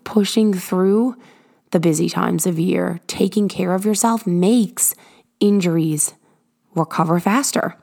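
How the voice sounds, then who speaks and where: A woman hosting a motivational podcast, her voice hoarse and raspy from illness, talking earnestly and encouragingly from her garage. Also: medium pitch, a little mellow. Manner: earnest, encouraging, drawn-out emphasis. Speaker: podcast host.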